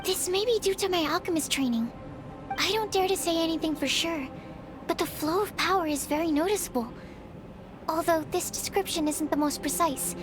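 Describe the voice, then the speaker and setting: A woman's voice: extremely high pitch, normal speed. A woman voicing an earnest young female alchemist in a video game, formal and polite, stammering when flustered. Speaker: game character. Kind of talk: video game cutscene dialogue. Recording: game audio with music behind.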